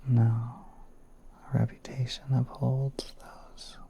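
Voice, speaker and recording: A man playing a gentle, courteous spider prince in an ASMR roleplay, speaking in a soft, breathy half-whisper with hesitant, unhurried politeness. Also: medium pitch, level tone. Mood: calm, explaining. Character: gentle, courteous spider prince soothing a guest in his garden.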